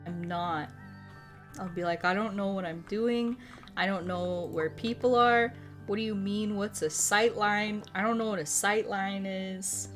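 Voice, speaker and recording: A woman's voice: mid-range voice, steady tone, unhurried, fairly bright tone. A woman chatting casually to viewers on a gaming stream, rambling, repeating herself and swearing freely. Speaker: live streamer. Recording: background music under the voice. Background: music.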